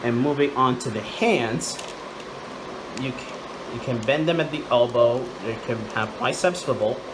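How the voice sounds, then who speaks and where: Casting: male hobbyist toy reviewer, medium pitch, casual and repetitive, noisy room at home. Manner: halting, repeats words and phrases. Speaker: toy reviewer, channel host. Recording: loud air-conditioner-like background noise.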